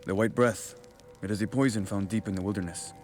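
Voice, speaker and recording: A proud male rebel warrior played by an actor talks in a fairly deep voice with formal, deliberate gravity. The delivery is dramatic game-cutscene acting over faint music. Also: fairly even intonation, close to an everyday voice. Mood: grave, regretful. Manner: formal, deliberate, few contractions. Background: faint background music.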